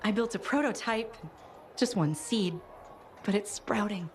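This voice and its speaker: A woman playing a polite, hopeful fruit seller, gentle and earnest, in a video game. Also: mid-range voice, unhurried, slight background noise, lightly acted. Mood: hopeful, grateful. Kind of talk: video game dialogue acting.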